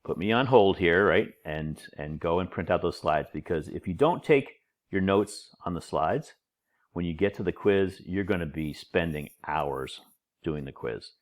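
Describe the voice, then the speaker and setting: A man's voice: sits low, expressive, measured pace. A middle-aged man, a college instructor, giving a recorded online lecture over slides, a little nasal from a cold, informal and chatty with joking asides.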